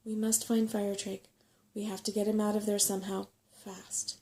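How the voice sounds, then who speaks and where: A woman voicing an earnest, level-headed boy in a soft-spoken storybook reading. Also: mid-range voice, little pitch movement, normal speed, close to an everyday voice. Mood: hushed, urgent.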